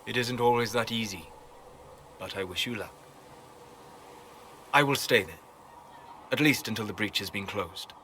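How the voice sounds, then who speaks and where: Grave, low-voiced scholarly mage. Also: male voice, sharp tone, slight background noise. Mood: guarded, courteous. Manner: measured, formal, faintly lofty. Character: scholarly male apostate mage, grave and courteous. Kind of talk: video game character dialogue.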